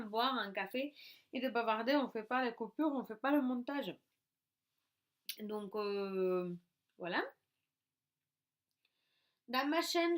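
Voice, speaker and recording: A woman's voice: fairly high pitch, a bit bright. A woman in her thirties from Russia with a Russian accent, chatting casually to camera at home, hesitant with many fillers and restarts. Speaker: YouTuber. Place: at home in her craft room.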